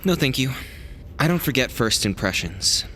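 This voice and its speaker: A man's voice: medium pitch, sing-song, normal speed, fairly bright tone, a little hiss or hum. A man playing a blunt, distrustful young lieutenant in an audio drama, curt and formal. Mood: cold, distrustful.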